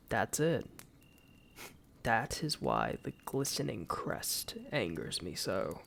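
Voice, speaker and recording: Man acting a gravelly, slow-spoken exiled knight. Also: medium pitch, slight background noise, full character voice. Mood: wounded, grave. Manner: formal, archaic, solemn storytelling with dramatic pauses. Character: embittered exiled knight, wounded pride, grieving for his mother. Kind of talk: first-person fantasy roleplay audio drama.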